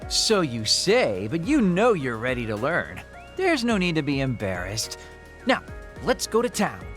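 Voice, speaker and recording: A man's voice: a little high, wildly swooping pitch, measured pace, a bit bright, faint music behind, exaggerated voice. A man acting as a breezy, self-assured young mentor of fun in a video game, teasing and theatrical. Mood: playful, teasing.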